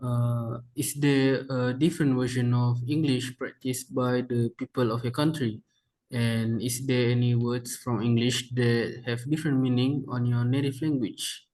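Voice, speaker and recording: Young guy student interviewer, slow and casual, reads his questions off, says uh a lot. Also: medium pitch, a little mellow. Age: young adult. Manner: casual, adds fillers, calls the guest bro. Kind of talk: reading prepared interview questions.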